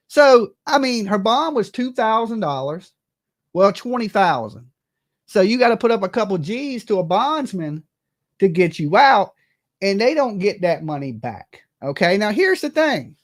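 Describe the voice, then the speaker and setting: A livestream host with a medium-pitched voice delivers casual, gossipy commentary. The talk is brash and salted with profanity, and points are often capped with a checking "okay?" or "right?".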